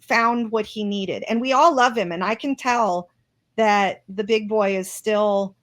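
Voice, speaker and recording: A woman's voice: mid-range voice, a little slow, a bit bright. A woman guest in an interview, speaking earnestly and reflectively with many restarts. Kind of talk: interview conversation.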